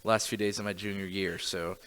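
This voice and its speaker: Bright, clear-voiced young man hosting. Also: sits low, steady tone, a little fast. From Joplin, Missouri. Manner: hesitant, many fillers and restarts. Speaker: podcast host. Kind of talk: casual church podcast conversation.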